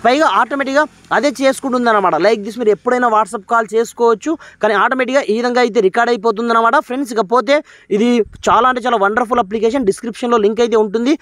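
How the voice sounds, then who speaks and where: A man's voice: very high voice, steady tone, rapid-fire, fairly bright tone, no echo. A man hosting a tech tutorial, addressing viewers as friends in an instructional way. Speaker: YouTube tech channel host. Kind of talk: tech tutorial to camera and screen demo.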